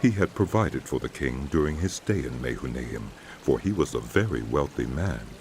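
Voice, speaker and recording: Male narrator, extremely low voice, lively intonation, scripted scripture storytelling, faint watery ambience. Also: moderate pace, a little hiss or hum. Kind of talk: scripted dramatized Bible narration.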